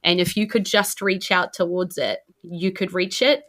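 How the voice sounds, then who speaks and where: A woman's voice: on the low side, steady tone, moderate pace, fairly bright tone. A woman with a New Zealand accent hosting and narrating a tabletop roleplaying podcast as game master, often repeating phrases for effect.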